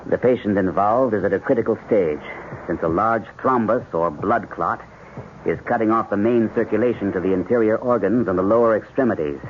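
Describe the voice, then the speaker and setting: An actor playing a composed surgeon who lectures clinically and with measured authority in a 1970s radio drama. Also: male voice, fairly low pitch, close to an everyday voice. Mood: brisk, composed. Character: composed male surgeon lecturing while operating. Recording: noisy, dull old radio broadcast.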